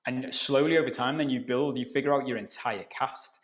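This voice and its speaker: A middle-aged man from Liverpool with a softened Scouse accent, explaining ideas as a coach on a podcast. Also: mid-range voice, steady tone, brisk. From Liverpool, England. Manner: explanatory, conversational, occasional swearing. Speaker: guest coach. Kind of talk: podcast conversation.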